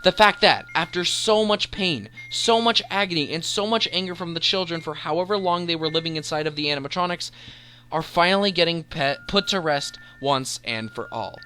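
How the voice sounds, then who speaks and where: A man's voice: fairly high pitch, normal speed, bright, forceful tone, slight background noise. A man hosting a video essay, narrating a scripted countdown with enthusiasm and emphatic, dramatic delivery. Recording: voice-over with background music. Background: music.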